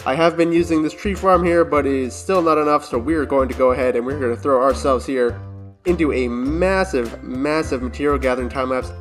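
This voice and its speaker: A man's voice: medium pitch, a little fast, faint music behind. A man giving casual, chatty commentary over his gameplay, talking to himself as he builds. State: strained voice, recovering from voice loss. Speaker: Minecraft YouTuber.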